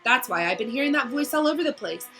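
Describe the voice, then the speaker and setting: An eager young wizard boy from a storybook, acted out by a woman reading aloud. The pitch is raised and the delivery urgent and full of exclamation. Music plays clearly behind. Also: lively intonation, quick pace, fairly bright tone. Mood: excited realization. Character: excited schoolboy wizard, eager and quick-thinking.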